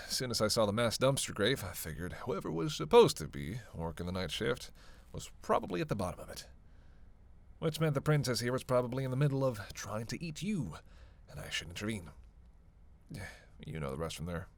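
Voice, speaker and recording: A creaky, gravel-edged male vampire played by a man in a roleplay audio drama. He talks casually and sarcastically, teasing and cursing as he goes, always playfully out for himself. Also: medium pitch, very animated, moderate pace. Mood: wry explaining. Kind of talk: roleplay audio drama, one-sided conversation with listener.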